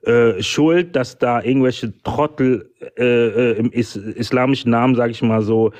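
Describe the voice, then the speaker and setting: A man's voice: mid-range voice, unhurried, darkish tone. A man arguing back indignantly in a live-stream debate, sarcastic and stumbling over fillers. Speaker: debate participant.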